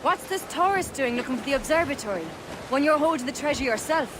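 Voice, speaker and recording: High-voiced, skeptical pirate shipmate. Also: female voice, lively intonation, fairly quick, noisy background. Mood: doubtful. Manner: questioning, forthright. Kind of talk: dramatic video game acting.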